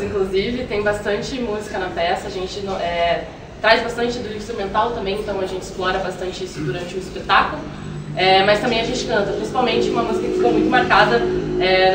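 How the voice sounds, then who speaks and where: Earnest woman, echoey and noisy recording. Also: medium pitch. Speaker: actress and singer being interviewed. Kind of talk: thoughtful conversational interview answers. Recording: roomy, distant mic, clear noise.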